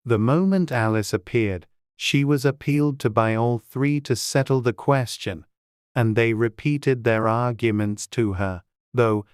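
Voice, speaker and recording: A man narrating a storybook from a script, measured and clearly enunciated. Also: mid-range voice, no echo, studio quality. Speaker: audiobook narrator.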